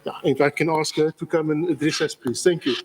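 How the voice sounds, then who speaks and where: A man's voice: a little high, steady tone, a little fast, a little hiss or hum. A man acting as master of ceremonies, introducing the next speaker in an informal, hesitant way. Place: convention hall.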